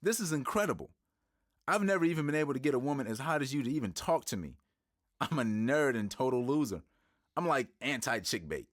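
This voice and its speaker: A man playing an excitable nerdy banker. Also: mid-range voice, no room sound, clean studio sound, natural voice. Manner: flustered, then gushing. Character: nerdy young male banker, nervous and excitable.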